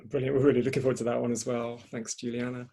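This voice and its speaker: Male event host, creaky voice, mellow tone, hesitant delivery with repeated words, online panel hosting. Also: mid-range voice, little pitch movement, quick pace, a little hiss or hum.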